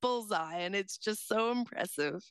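A woman's voice: medium pitch, lively intonation, a little slow, clear, resonant tone, no room sound, studio quality. A woman chatting casually on air as a community reporter.

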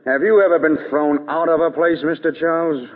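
An actor playing a tough, scheming nightclub racketeer in hard-boiled slang, in an old radio drama. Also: male voice, a little high, fairly even intonation, brisk, natural voice. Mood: hostile. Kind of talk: 1930s-40s radio drama acting. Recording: husky-sounding vintage radio, echoey, narrow band.

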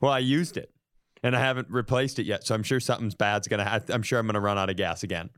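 A man's voice: lowish voice, fast talker, no room sound. A man podcast host telling a casual, comedic story in an exasperated, profane way, acting out the dialogue as he goes. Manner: exasperated, profane, animated retelling with acted-out dialogue.

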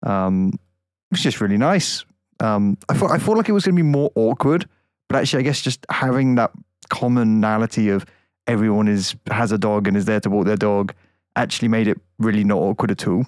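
A man's voice: fairly low pitch, a little fast, darkish tone, no room sound, studio quality. Rambling, laughing man. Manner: rambling, laughs often, many fillers. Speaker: podcast co-host. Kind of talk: casual podcast chat.

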